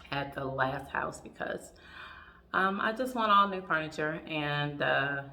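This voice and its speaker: A woman with a raspy voice chatting casually in a home vlog, full of ums and restarts, with her throat a little sore. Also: low-pitched, bright, forceful tone, recorded from a distance. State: throat trouble, clears throat. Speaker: vlogger.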